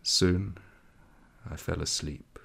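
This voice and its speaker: A man's voice: deep voice, fairly even intonation, slow pace, vocal fry, a bit bright, breathy edge. A man reading a literary story aloud in a dramatic manner. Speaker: audiobook reader.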